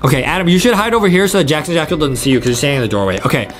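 A man in his twenties giving excited gameplay commentary, eagerly repeating his phrases. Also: a little high, very fast. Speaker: gaming video host. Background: faint game music.

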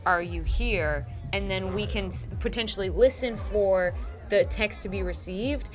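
A young woman talks in a casual, off-the-cuff way as a player at a game table. Her pitch is middling. Music is clearly audible behind her. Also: moderate pace, a little mellow. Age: young adult. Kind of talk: casual improvised game chat. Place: indoor studio set at a game table.

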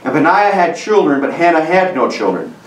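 A man's voice: a little high, big pitch swings, a little fast, slight background noise. A man in his thirties preaching a sermon in a small church room, earnest and repetitive, often restarting his phrases. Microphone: camera mic from a distance. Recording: roomy sound from a distant mic.

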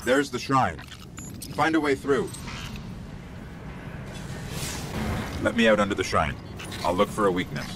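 A man playing a small, watchful robotic AI companion giving expressive mission briefings in a video game. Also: medium pitch, a little fast, vocal fry, background noise. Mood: urgent, alert. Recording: loud game music behind.

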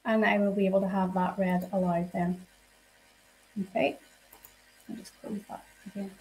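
A woman giving a live software demonstration to teachers. She explains each step in a friendly way and uses frequent fillers. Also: medium pitch, level tone, moderate pace, a little hiss or hum, rough recording. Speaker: software trainer.

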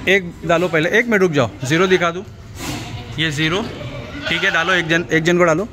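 A man's voice: fairly high pitch, normal speed. A middle-aged man casually touring a goat farm as a vlogger, admiring the animals and reading out weights and prices. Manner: admiring, repeats figures aloud, frequent exclamations of praise. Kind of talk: casual walkthrough chat. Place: outdoor goat farm sheds. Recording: outdoor farm noise with goats bleating. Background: goats bleating, farm ambience.

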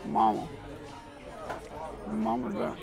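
A young man, enthusiastically reviewing food to a camera on the table at an outdoor restaurant terrace. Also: music in the background. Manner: enthusiastic, exclaims while tasting. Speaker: food vlogger. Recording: crowd chatter on a terrace. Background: diners chattering.

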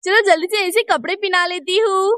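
A woman's voice: very high voice, brisk, a bit bright, no room sound. A woman voicing a doting young female caregiver in a children's story, cooing and repeating herself soothingly. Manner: cooing, repetitive. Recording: clearly noisy, clicking background.

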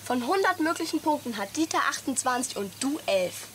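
An actress playing a cheeky, unimpressed teenage daughter who speaks bluntly in a German radio comedy. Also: female voice, high voice, very animated, fairly quick, a little hiss or hum. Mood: matter-of-fact.

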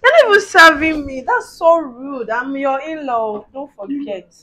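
A woman's voice: high voice, expressive, measured pace, pushed and bright, a little hiss or hum, heavily acted. A woman acting as a bold mistress posing as an in-law, pushy and entitled, saying "like" a lot. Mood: scolding.

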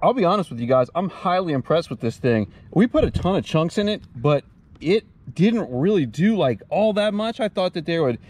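A man's voice: on the high side, a little mellow. A man in his thirties talking casually to camera outdoors as a vlog host, with a laid-back, wry, informal manner. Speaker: host of a shooting channel. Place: outdoors at a wooded backyard shooting range.

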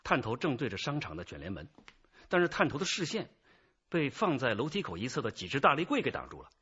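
A man's voice: medium pitch, wildly swooping pitch, fast, pushed and bright, breathy edge, lo-fi sound, heavily acted. A man playing a commanding detective chief with a probing, authoritative manner in a radio crime drama. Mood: deducing. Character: commanding male police detective chief leading an investigation.

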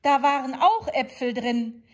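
A woman's voice: high-pitched, unhurried, voice pushed up. A woman voicing a chatty, doting old grandmother in a storybook. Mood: fond. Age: older.

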